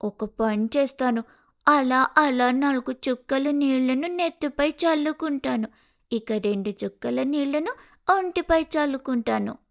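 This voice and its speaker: A woman's voice: sits high, a little slow, gravelly. A woman voicing a lazy, pampered young female sparrow in a cartoon moral story, whining and wheedling dramatically. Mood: whiny, grumbling.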